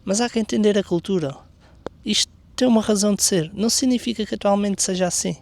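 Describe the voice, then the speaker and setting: A man's voice: high voice, fast talker, vocal fry. A man from Portugal with a European Portuguese accent, delivering an earnest opinion monologue full of rhetorical questions. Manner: earnest, argumentative. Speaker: commentary video creator.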